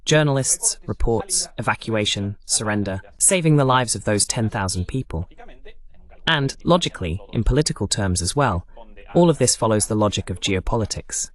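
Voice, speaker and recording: Man giving animated political commentary. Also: fairly low pitch, normal speed. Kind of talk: political analysis monologue.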